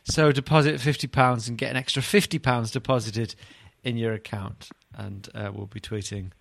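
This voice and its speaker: A man's voice: mid-range voice, fairly even intonation, normal speed, gravelly, a bit bright, no room sound. Man hosting comic podcast, dry close sound. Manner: teasing, mock-baffled. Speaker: podcast host. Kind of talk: casual comic podcast banter.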